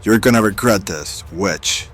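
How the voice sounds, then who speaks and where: A man playing a deep-voiced, defiant adventurer. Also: a little slow, a bit bright, slight background noise. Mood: angry. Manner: challenging, guarded. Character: defiant male hireling adventurer, wary, hot-tempered. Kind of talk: audio drama acting.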